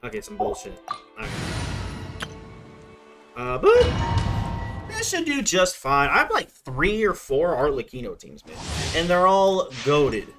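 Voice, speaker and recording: A young adult game streamer with a mid-range voice that swings up and down freely. The talk is casual, rambling and full of slang and swearing, with game music clearly behind it. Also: mid-range voice, huge pitch swings, measured pace, long pauses. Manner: slangy, profane, rambling to self. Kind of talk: casual gameplay commentary.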